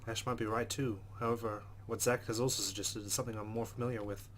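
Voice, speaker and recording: A man's voice: fairly low pitch, flat delivery, fast, a little hiss or hum. A man hosting a let's play, reading game narration aloud and adding casual commentary.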